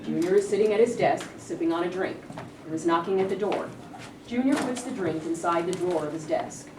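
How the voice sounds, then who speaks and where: A woman's voice: on the low side, a little fast. A woman giving scripted narration, reading out stage directions at a staged play reading. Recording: roomy, distant mic, clear background noise.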